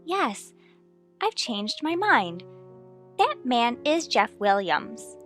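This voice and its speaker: An impulsive young American wife and amateur sleuth in a mid-century radio mystery. She is high-voiced and very animated, with emphatic, exclamatory delivery and a stubborn streak. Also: slow pace, faint music behind, exaggerated voice. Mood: flustered, stubborn.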